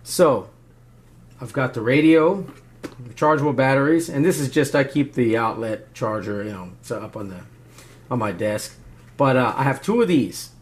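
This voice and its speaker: A man's voice: medium pitch, moderate pace, breathy edge. A man talking casually and unscripted as he demonstrates gear and gives advice, often checking in with "right?" and "you know". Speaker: video host demonstrating radio gear.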